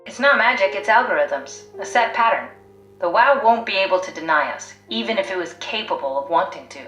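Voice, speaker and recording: A woman plays a driven scientist in a video game, her mid-pitched voice ringing with echo. She is blunt and analytical, reasoning matter-of-factly as she persuades. Faint music sits underneath. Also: animated, brisk, fairly bright tone, lightly acted. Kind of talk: video game voice acting, naturalistic dialogue. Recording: echoey sound.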